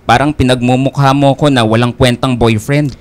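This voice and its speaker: A man playing a smooth-talking, possessive young boyfriend with a Filipino accent in a radio drama, persuasive and self-assured. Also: mid-range voice, fairly even intonation, normal speed, natural voice. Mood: insistent, guilt-tripping. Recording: music bed behind the voice.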